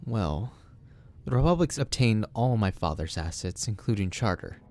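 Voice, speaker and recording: An actor playing a calm, measured Republic official who speaks in a dry, even way. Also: male voice, fairly low pitch. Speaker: official, son of the corporate boss. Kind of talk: fan audio drama acting.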